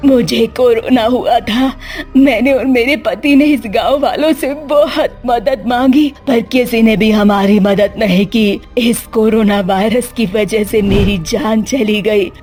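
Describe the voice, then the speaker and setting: A woman voicing a menacing, vengeful female witch ghost in a cartoon story, with a slow, threatening, declamatory delivery. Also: medium pitch, darkish tone, voice pushed down, natural voice.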